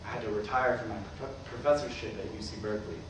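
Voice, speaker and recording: A man's voice: mid-range voice, fairly even intonation, quick pace, lightly acted. A man playing a dying, middle-aged former professor, dry and argumentative with his brother, in a staged play reading. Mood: subdued, resigned. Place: staged reading filmed in a roomy venue. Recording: echoey room, far mic, noisy.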